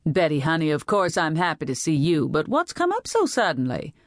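Audiobook role: gentle grandmother, read by a woman, peacemaking, mildly chiding, slightly low voice. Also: huge pitch swings, brisk, no room sound, studio quality, full character voice. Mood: welcoming. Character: gentle grandmother caught between daughter and granddaughters. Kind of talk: audiobook character voice.

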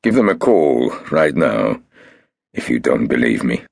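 A man's voice: rumbling low, big pitch swings, unhurried, gravelly, no echo, studio quality, pitched below the performer's own voice, heavily acted. A man doing a cold, ailing old tycoon. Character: sick, ruthless old male tycoon, cold and calm.

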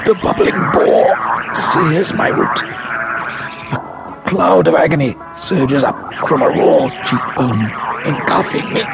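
A man narrating an audiobook in a dramatic first person, theatrically savouring his words with long pauses. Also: medium pitch, vocal fry, very noisy.

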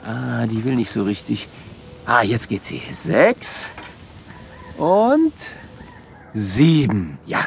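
A cheerful, cautious dad in a children's audio drama. His voice is gravelly and unhurried, with some background noise. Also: medium pitch, sing-song, full character voice. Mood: musing, concentrating. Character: cheerful, cautious father of a young boy.

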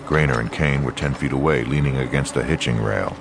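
Very deep, gravelly male western narrator. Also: fairly quick, noisy background. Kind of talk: scripted western audiobook narration.

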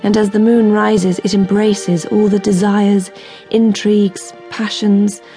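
A woman's voice: mid-range voice, flat delivery, slow, over music. A woman acting as the intimate, knowing narrator of a radio drama. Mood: measured, knowing.

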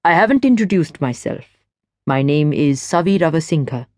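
A woman voicing a courteous man with a soft, honeyed tone and an unhurried, polite delivery for an audiobook novel. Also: low-pitched, expressive, no echo, studio quality. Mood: gentle, courteous.

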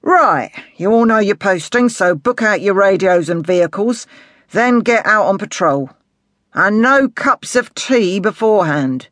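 A man voicing a grumpy, condescending police sergeant in an audiobook, curt and gruff in manner. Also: sits high, lively intonation, unhurried, a bit bright, no room sound, studio quality, voice pushed up. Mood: barking orders. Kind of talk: audiobook character dialogue.

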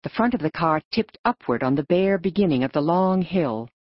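A woman narrates a children's novel in a low voice that moves around expressively in pitch. It is a scripted audiobook reading, close and dry, with slightly dull, lo-fi sound. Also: lively intonation, fairly quick, dry recording.

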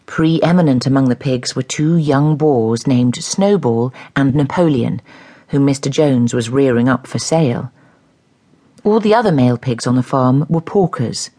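A woman's voice: extremely low pitch, measured pace, warm tone. A woman reading scripted narration for a dramatised story.

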